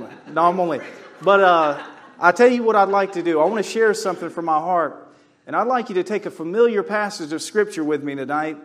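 Joking preacher with a high voice. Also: male voice. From North Carolina. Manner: joking, folksy, builds to loud emphatic storytelling. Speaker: guest preacher. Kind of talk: sermon. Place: church sanctuary.

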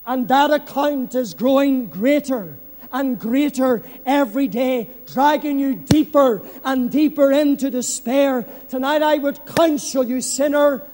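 A man preaching a fervent evangelistic sermon, solemn and emphatic, repeating phrases for effect and swinging between hushed and loud, impassioned delivery. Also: very high-pitched, unhurried. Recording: long hall reverb.

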